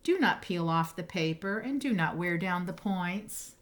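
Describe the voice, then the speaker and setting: A woman's voice: on the low side, animated, unhurried. A woman voicing a strict female first grade teacher in a storybook, firm and instructing. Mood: firm, displeased. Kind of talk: storybook character voice.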